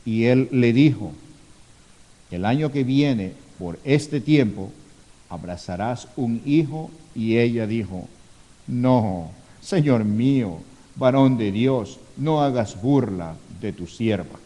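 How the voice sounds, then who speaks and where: A man preaches from the pulpit with a mellow, relaxed tone and a mid-range voice. His words ring with the long reverb of a church hall on a live stream. He teaches patiently, reading scripture and asking the congregation questions along the way. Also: medium pitch, takes it slowly, lo-fi sound. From Ecuador. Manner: teaching, rhetorical asides, reads scripture aloud. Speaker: pastor. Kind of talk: sermon.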